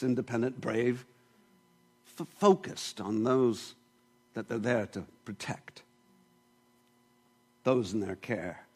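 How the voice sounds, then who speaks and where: A man's voice: on the low side, darkish tone, husky, heavily acted. A man performing a plainspoken New Zealand shepherd in a monologue, with a put-on New Zealand accent, talking in a folksy way with chuckles and tag questions. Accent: New Zealand, put on with some English mixed in. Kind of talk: dramatic monologue in a sermon.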